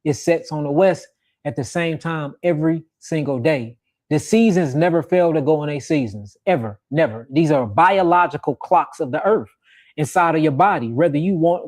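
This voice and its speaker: Man, interview guest, confident, explanatory, slightly high voice. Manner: confident, explains with simple examples. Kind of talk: interview answers.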